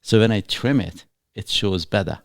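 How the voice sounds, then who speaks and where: A man's voice: on the low side, moderate pace, gravelly, no echo, clean studio sound. A man from Iran with a Persian accent, hosting a casual chat and asking playful, probing questions. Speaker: host. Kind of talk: casual conversation.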